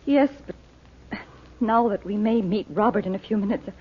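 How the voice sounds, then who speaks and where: A woman's voice: mid-range voice, moderate pace, breathy edge, natural voice. An actress playing an anxious but brave woman with emotional delivery in a vintage radio drama. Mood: anxious, hopeful. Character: Dot, anxious, brave woman searching for a lost loved one. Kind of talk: 1940s radio drama acting. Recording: muffled, hissy old radio recording.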